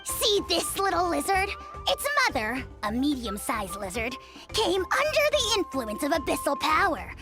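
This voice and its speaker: A woman voicing a tiny, arrogant dragon lord in a fantasy game, speaking in a pompous, grandiose, theatrical manner and quick to anger. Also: high-pitched, sing-song, over music. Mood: condescending.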